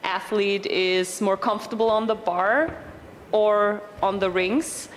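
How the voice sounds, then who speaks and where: A woman's voice: medium pitch, slight background noise, low-quality recording. Enthusiastic woman co-commentating. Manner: enthusiastic, quick to react. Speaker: event co-commentator. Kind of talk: live sports commentary.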